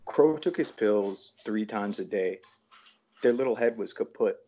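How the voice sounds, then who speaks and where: A man's voice: on the low side, animated, a little slow, mellow, slight background noise. A man from Toronto, a poet, reading aloud over a dull, lo-fi video call. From Toronto, Canada. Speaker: poet reading own work. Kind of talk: poetry reading.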